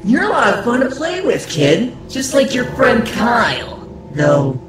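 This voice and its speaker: A man voicing a sadistic demonic creature from a horror story, gleefully taunting his victims with drawn-out, mocking menace. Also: extremely high pitch, wildly swooping pitch, over loud music, voice pushed up, full character voice. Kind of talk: horror story character reading. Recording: echoing voice buried in loud ambience.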